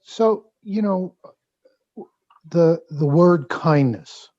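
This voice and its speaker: Dull, dry call audio carries a man from Hawaii. His voice is mellow and a touch higher than average. He puts questions and comments to a speaker in a measured, conversational way, pausing to think. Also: animated, no room sound. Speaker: audience member asking a question. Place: online video call.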